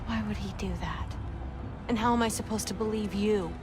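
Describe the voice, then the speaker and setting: A young actress playing a fiery, blunt teenage Norse goddess in a video game, challenging in manner, over noisy ambience. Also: female voice, mid-range voice, fairly even intonation, moderate pace, natural voice. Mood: confused, wary. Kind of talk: dramatic video game acting.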